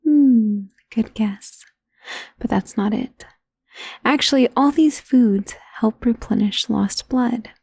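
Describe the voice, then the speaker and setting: Breathy, whispery ancient vampire lady, acted by a woman. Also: a little high, no echo, clean studio sound, heavily acted. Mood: attentive, doting. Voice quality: soft, breathy, often dropping to a whisper. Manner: slow, tender, teasing, genteel endearments. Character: ancient female vampire, doting, possessive, genteel mistress. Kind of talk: ASMR roleplay, soft intimate acting to the listener.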